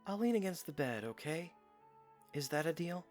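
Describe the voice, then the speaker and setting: A woman's voice: sits low, lively intonation, moderate pace, darkish tone, faint music behind. A woman playing an earnest, bashful, protective young man in an audiodrama, hesitant and dryly understated. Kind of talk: audiodrama acting.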